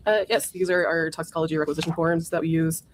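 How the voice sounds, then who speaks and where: A woman testifying as a witness in a courtroom, hoarse from a cold, giving careful, matter-of-fact answers. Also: sits low, fairly even intonation, fast talker, slight background noise. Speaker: witness, former autopsy technician.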